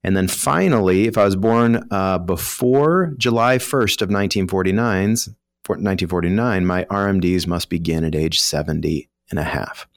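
Male financial expert, American, low register, dry studio sound, talks listeners through rules conversationally. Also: moderate pace, dry recording. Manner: explanatory, informal, voices hypothetical first-person examples. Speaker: retirement planning professional, guest expert. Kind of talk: conversational explaining of financial rules on a talk show.

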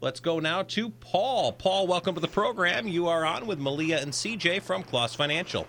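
A man announcing on a call-in radio show, giving phone numbers and welcoming callers in an upbeat, brisk way. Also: high voice, fairly bright tone, a little hiss or hum.

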